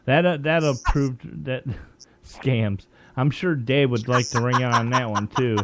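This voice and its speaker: A man's voice: medium pitch, measured pace, very noisy. A man in his forties hosting a casual online talk show, laughing often and stumbling through restarts as he wraps up. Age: 40s. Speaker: host. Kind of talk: casual podcast banter.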